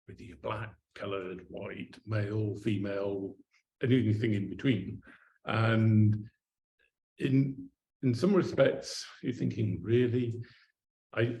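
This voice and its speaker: A man's voice: lowish voice, a little mellow, roomy sound. A man answering as a panelist at a conference, wry and thoughtful, often hedging his points. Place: conference room.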